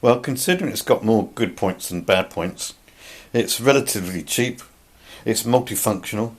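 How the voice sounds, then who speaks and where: A man's voice: lowish voice, normal speed. A man giving an unscripted product review in a plain-spoken, somewhat hesitant way. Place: indoors.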